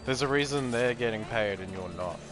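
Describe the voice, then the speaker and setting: A man's voice: medium pitch, fairly quick. A man giving casual gameplay commentary, ranting in an opinionated, profane way. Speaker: gaming commentator. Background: loud game music.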